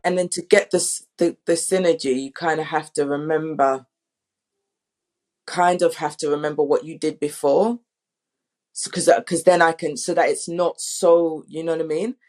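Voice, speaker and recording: A woman director in a casual panel talk, thinking aloud in a halting, conversational way. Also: low-pitched, fairly even intonation, darkish tone. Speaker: audio drama director.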